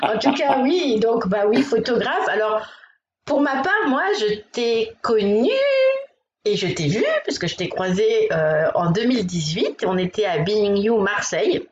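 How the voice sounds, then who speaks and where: A woman's voice: medium pitch, expressive, measured pace, slight background noise. Woman host over a dull roomy call. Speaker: podcast host. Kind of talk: casual interview.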